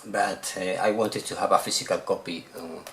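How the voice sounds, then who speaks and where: Deep-voiced Spanish man, halting English. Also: fairly even intonation, vocal fry, a little hiss or hum. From Spain. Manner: hesitant, repeats words while searching for English. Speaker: video game collector showing purchases. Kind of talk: casual unboxing vlog.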